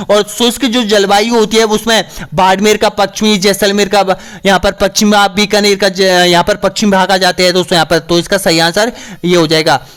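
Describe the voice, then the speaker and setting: A slightly hoarse man teaching an exam-prep quiz lesson, asking each question and then giving the answer, with a frequent "friends, here" as a filler. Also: high-pitched, fairly bright tone. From Rajasthan, India. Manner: repeats "dosto yahan par", asks then answers.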